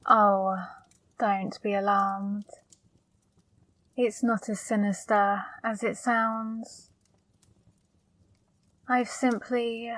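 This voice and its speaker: A woman playing a flirty, teasing female demon in an intimate ASMR roleplay, speaking softly and breathily, coaxing and gently scolding up close. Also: medium pitch, no echo, low-quality recording. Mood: playfully accusing. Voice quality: soft, breathy, partly whispered. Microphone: close microphone, dry.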